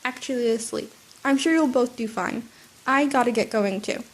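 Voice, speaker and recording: Woman reading a tired deadpan male hero. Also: fairly high pitch, moderate pace, close to an everyday voice. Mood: dry. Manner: dry, deadpan. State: sick, slightly sniffly. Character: tired gruff male hero, dry and blunt.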